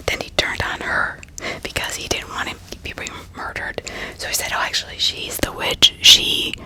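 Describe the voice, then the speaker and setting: A woman narrating true-crime stories in an ASMR style, in a breathy, unvoiced whisper with sympathetic, dramatic storytelling. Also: rough recording. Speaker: ASMR host.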